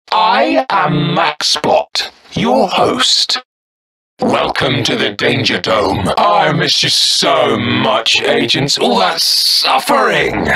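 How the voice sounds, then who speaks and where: A man's voice: a little high, echoey room, big-room sound, recorded from a distance, heavily acted, low-quality recording. A man voicing a vengeful robot arena announcer in a video game, taunting and mock-polite with gleeful menace. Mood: gleefully menacing, then bitter, vengeful.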